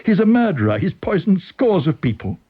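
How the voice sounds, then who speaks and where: An agitated man in a radio story, voiced by a male reader, pitched high and slightly husky. He is earnest and insistent, frightened yet set on being believed. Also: big pitch swings, brisk, narrow, tinny audio, exaggerated voice. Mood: agitated, accusing.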